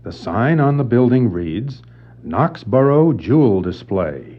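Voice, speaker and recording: A man's voice: mid-range voice, sing-song, takes it slowly, dull audio. A man reading out written signs in a plain descriptive style. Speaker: reader of on-screen signs.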